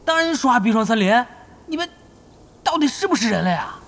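Feisty young gamer, very high voice, sharp, snappy, radio drama, long reverb. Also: female voice, expressive, normal speed. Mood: incredulous. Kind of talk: Chinese radio drama acting.

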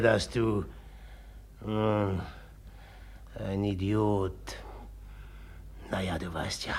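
A gravelly, brooding jealous merchant. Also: male voice, lowish voice, a bit bright, heavily acted. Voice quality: gravelly, husky. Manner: slow and heavy, flaring into agitation. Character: jealous brooding young Russian merchant, passionate. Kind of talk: 1950s radio drama acting.

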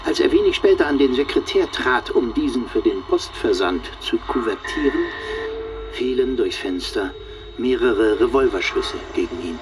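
Male radio-play chronicler acted, medium pitch, lively melodic range, formal report-like scripted narration. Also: moderate pace, noisy background, natural voice. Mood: formal, dramatic. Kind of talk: scripted documentary-style radio drama narration.

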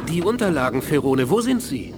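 A ruthless reptilian warlord in a 1980s radio play. His voice sits fairly high and swings widely as he barks imperious demands. Clear engine-like noise runs behind him. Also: big pitch swings, fairly quick. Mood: menacing, demanding. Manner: imperious, barking orders and demands.

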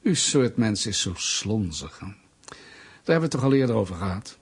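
Husky, menacing, condescending state official. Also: male voice, lowish voice, big pitch swings, moderate pace, exaggerated voice. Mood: condescending, mocking. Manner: patronizing, sardonic, mock-polite. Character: menacing, condescending male Soviet state official, bureaucratic bully. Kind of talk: radio drama acting.

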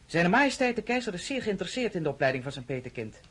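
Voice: medium pitch, big pitch swings, fast talker, a bit bright, slightly rough. A performer in a radio play, playing a strict, dutiful tutor. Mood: strict, formal.

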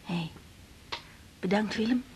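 An actress with a Netherlands Dutch accent playing a tender, shy young doctor, hesitant and soft, in a 1980s radio drama. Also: female voice, mid-range voice, takes it slowly, noisy background, close to an everyday voice. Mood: tender, moved. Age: young adult. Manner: hesitant, soft, repeating words. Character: tired young woman doctor, tender, slightly shy dinner guest.

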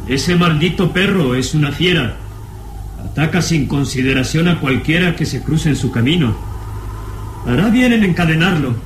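Guy playing a jittery resentful young man, kinda high voice, old radio drama, bit of background noise. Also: expressive, moderate pace, natural voice, rough recording. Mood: resentful, irritated. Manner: agitated, stammering repetitions when rattled. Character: nervous, unstable, resentful pale young man. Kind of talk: vintage radio drama acting.

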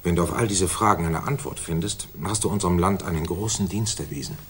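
Senior official, low husky voice, pompous, formal, deliberate, authoritative, 1960s German radio play. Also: male voice. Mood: urgent, imperious. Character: Mycroft Holmes, weighty, authoritative, self-satisfied senior government official.

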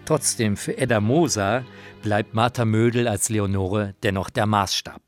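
A man's voice: on the low side, measured pace, soft background music. A man narrating a scripted radio feature as its presenter, in an explanatory storytelling style.

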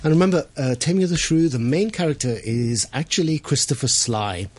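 A man's voice: a little high, brisk, darkish tone. A male theatre director being interviewed on radio, explaining in a lecturing way with frequent restarts. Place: radio studio.

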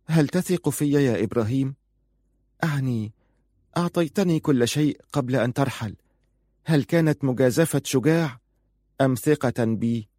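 A man's voice: mid-range voice, moderate pace, long pauses, mellow, no echo, studio quality, pitched above the performer's own voice, close to an everyday voice. A man voicing a passionate, pleading and defiant young wife in audiobook dialogue, insistent and emotional. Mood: searching, tender. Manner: insistent, emotional, bitter at times.

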